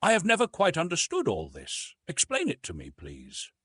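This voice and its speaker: Male reader as young jungle man, earnest, blunt, stubborn, raised pitch, husky, audiobook adventure. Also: huge pitch swings, moderate pace, fairly bright tone, no room sound, exaggerated voice. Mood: curious, eager. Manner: simple, direct, inquisitive.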